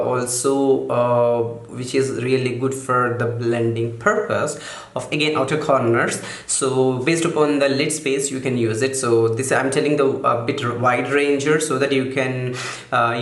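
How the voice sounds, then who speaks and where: A man calmly walking through makeup brushes in a casual vlog, softening his voice on purpose and often stopping to correct himself with fillers. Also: medium pitch, roomy sound. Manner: halting, many fillers and self-corrections; deliberately softened, soothing delivery. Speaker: makeup vlogger. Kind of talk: casual product walkthrough.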